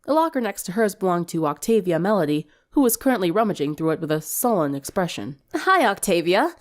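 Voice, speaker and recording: A woman reading a scripted story aloud as its narrator, in an expressive storytelling style. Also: fairly low pitch, quick pace.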